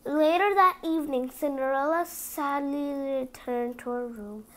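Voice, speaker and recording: A woman's voice: high-pitched, a little slow. A woman reading a storybook aloud for children, expressively, with chatty asides to her young listeners. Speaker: storybook reader.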